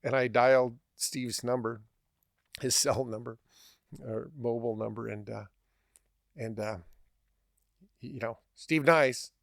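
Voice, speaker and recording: A man's voice: mid-range voice, level tone, unhurried, gravelly, pauses often, dry recording, studio quality. A man hosting a podcast, telling a casual anecdote with emphatic repetition and an amused manner. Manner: chuckles while talking, repeats phrases for emphasis. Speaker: podcast host.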